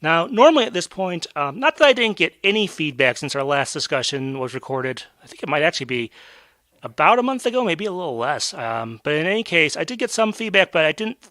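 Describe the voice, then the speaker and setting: A man hosting a casual podcast chat, his voice bright and forward. He rambles, circling back with plenty of ums and restarts. The sound is close and dry, with no room behind it. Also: a little high, very animated, brisk, no echo. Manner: rambling with many fillers and restarts. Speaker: podcast host. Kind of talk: casual discussion.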